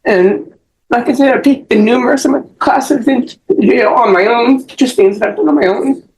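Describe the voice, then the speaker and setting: A middle-aged American woman giving testimony as a defendant in court, rambling and halting as she repeats herself. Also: fairly high pitch, darkish tone, slight background noise, low-quality recording. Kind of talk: spoken testimony answering questions.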